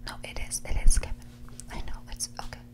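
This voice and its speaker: A woman playing a snobby, dismissive rich young woman in a whispered and soft-spoken ASMR roleplay, condescending and ditzy, saying "like" often. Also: fast talker, natural voice. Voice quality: breathy whisper mixed with creaky soft speech. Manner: ditzy, condescending, hesitant delivery full of "like".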